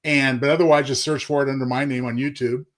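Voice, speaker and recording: A man's voice: mid-range voice, level tone, quick pace. A man co-hosting a live show and talking about his writing in a courteous, slightly formal but chatty way. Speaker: co-host and short story author. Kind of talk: casual live chat.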